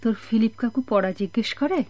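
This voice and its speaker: An actress playing a sorrowful, torn mother in a radio drama, speaking haltingly in a breathy, melodramatic way. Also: fairly high pitch, lively intonation, a little mellow, no room sound. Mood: subdued, tender. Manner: halting, anguished, breathy pleading with repeated words. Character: sorrowful, torn mother waiting for a lost husband.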